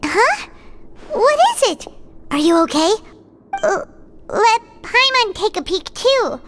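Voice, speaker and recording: A woman voicing a tiny, excitable, childlike fairy companion in a video game, skittish, chatty and dramatic. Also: takes it slowly, noisy background, full character voice. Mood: wary. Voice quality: squeaky, childlike. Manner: refers to self in third person, dramatic. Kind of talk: video game character acting.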